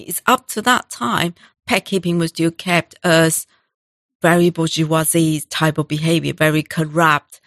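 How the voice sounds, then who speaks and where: A middle-aged woman, telling stories as an interview guest in halting, full of restarts. Her voice sits a little low. The recording is dry and close. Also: dry recording. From Taiwan. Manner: halting, non-native phrasing with restarts. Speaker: guest, animal welfare director. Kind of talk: conversational interview storytelling.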